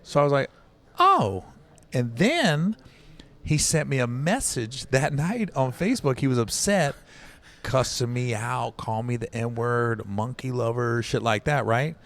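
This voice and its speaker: A man's voice: medium pitch, measured pace. A man, a comedian guest, telling stories in casual podcast chat, acting out quotes and often checking in with "right?". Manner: animated storyteller, acts out quotes, says "right?" often.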